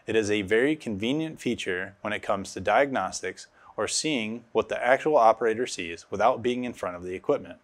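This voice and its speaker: A man's voice: fairly low pitch, a little fast, hardly any pauses. A man in his thirties giving scripted instructional narration as a technical specialist, recorded on a lapel mic in a studio. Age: 30s. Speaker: automation specialist presenting a tutorial.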